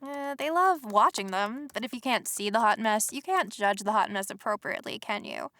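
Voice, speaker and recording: An actress playing a cheeky, confident young niece in a comedy audio drama, sassy and slangy. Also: female voice, medium pitch, lively intonation, moderate pace, bright, forceful tone, dry recording, studio quality. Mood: teasing. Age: young adult.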